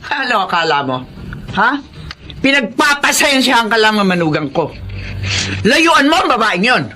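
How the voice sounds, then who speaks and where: A man's voice: extremely high pitch, sing-song, moderate pace, pushed and bright, faint music behind, exaggerated voice. A man voicing a stern older mother who scolds her grown son in an audio drama. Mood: angry scolding. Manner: scolding, accusing.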